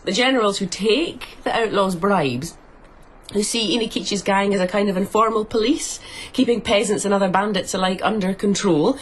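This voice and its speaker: An actress playing a shrewd, bossy widowed farm owner in a radio drama, mocking and forthright. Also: female voice, mid-range voice, normal speed, close to an everyday voice. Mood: scornful, amused. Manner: mocking, forthright, laughs as she talks.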